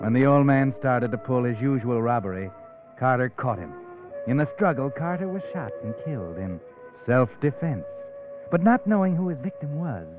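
An American actor playing a mysterious, sardonic crime narrator in a 1940s radio drama, knowing and teasing. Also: male voice, medium pitch, close to an everyday voice. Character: mysterious, sardonic male narrator who knows dark secrets. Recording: tinny band-limited 1940s radio recording, music. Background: music.

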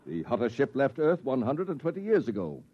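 Pompous lecturing professor, radio play, real animated, bit muffled old recording. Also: male voice, medium pitch, brisk, husky. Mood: smugly lecturing. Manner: pedantic, lecturing, smug. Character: pompous, condescending male academic professor. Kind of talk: old-time radio drama acting.

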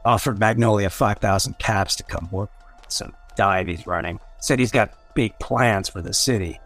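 Low streetwise ghoul boss, slangy n irreverent, radio play, faint music behind. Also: male voice, moderate pace, a bit bright. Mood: gruff, irritated. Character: rough, streetwise male ghoul mayor of a seedy town. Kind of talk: old-time radio drama acting.

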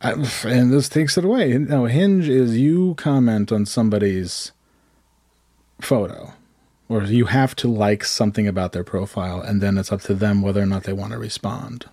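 A man with a hoarse voice, telling rambling self-deprecating stories in casual chat. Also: on the low side, normal speed, dark tone, no room sound, clean studio sound. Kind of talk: casual comedic storytelling.

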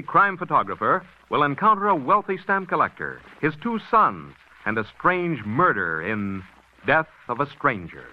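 A man announcing shows on an old network radio broadcast in a smooth, declamatory style. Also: on the high side. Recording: dull old radio recording.

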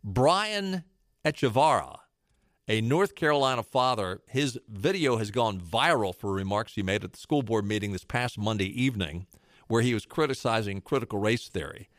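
A male talk show host delivers political commentary with emphasis and a sarcastic edge. His pitch rises and falls a great deal as he jumps from point to point. The recording is dry and close. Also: animated, moderate pace, a bit bright, dry recording.

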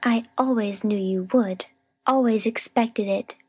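Woman voicing a husky, indignant scolding spinster. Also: on the high side, measured pace, mellow, no room sound, pitched above the performer's own voice. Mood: accusing. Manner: grim, firm, scolding, sniffy. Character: stern, indignant, sharp-tongued proud spinster.

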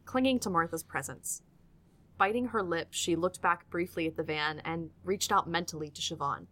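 A woman's voice: fairly low pitch, normal speed, pushed and bright. A woman reading scripted narration for an audiodrama as its storyteller.

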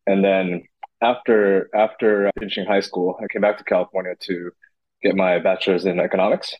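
A man's voice: low voice, dry recording, highs cut off. A man in his thirties who grew up in Beijing, answering interview questions thoughtfully with frequent restarts. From Beijing, China. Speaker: podcast guest, entrepreneur.

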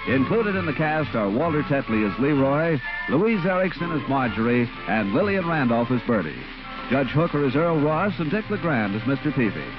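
An American man reads as a scripted 1940s radio announcer. His delivery is animated, with wide pitch movement. The recording is muffled, with some faint music. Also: normal speed.

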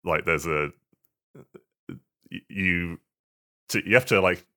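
Very deep-voiced, bright, halting man. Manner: hesitant, halting delivery with repeated words. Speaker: podcast co-host. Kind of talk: casual video game podcast chat.

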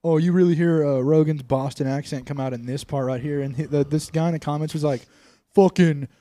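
A man's voice: a little high, steady tone, a little fast, warm tone. A young man co-hosting a comedy podcast, swearing freely and riffing on regional accents with a friend. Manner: profane, joking, tries silly accents. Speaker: podcast co-host. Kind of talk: crude comedic banter.

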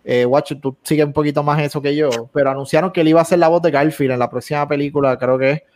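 A man's voice: fairly high pitch, fairly even intonation, quick pace, a little mellow. A man co-hosting a gaming podcast, talking casually with frequent restarts and English words mixed in. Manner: rambling, many restarts and Spanglish. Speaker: podcast co-host. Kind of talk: casual chat.

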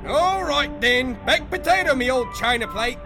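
A very high, sing-song Cockney mate swoops up and down as he hollers. His tone is bright and cutting, full of exclamations and rhyming slang. Faint music sits underneath. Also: expressive, normal speed. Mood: hearty.

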